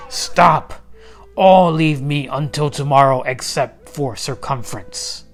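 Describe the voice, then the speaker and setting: Noble storybook king, played by a male reader, commanding and kindly, projected proclamations, faint music. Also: a little high. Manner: proclaiming, deliberate, stately.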